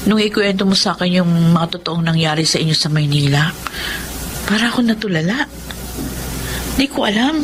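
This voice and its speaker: A woman's voice: fairly low pitch, animated, moderate pace, pauses often, a little hiss or hum, close to an everyday voice. A woman playing a caring, proud elder sister in a Filipino radio drama. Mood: moved.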